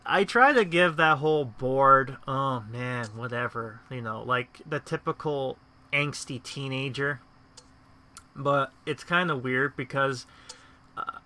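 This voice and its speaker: A man's voice: mid-range voice, bright, forceful tone, slight background noise. A young man casually commenting on a mobile game, rambling with many fillers and trailing thoughts. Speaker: let's-play host.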